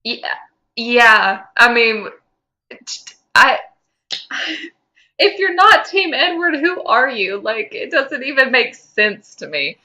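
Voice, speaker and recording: Bright, high-voiced woman chatting emphatically. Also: big pitch swings, slow, long pauses. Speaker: podcast co-host. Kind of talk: casual fandom chat.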